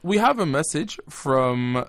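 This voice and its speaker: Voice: mid-range voice, very animated, unhurried, no room sound, studio quality. A co-host with Swahili as a first language, reading listener messages aloud and teasing in a casual chat.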